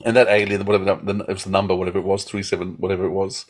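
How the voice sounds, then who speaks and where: A man's voice: deep voice, little pitch movement, racing. A man co-hosting a podcast, chatting casually and emphatically, often repeating himself. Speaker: podcast co-host. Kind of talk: casual chat.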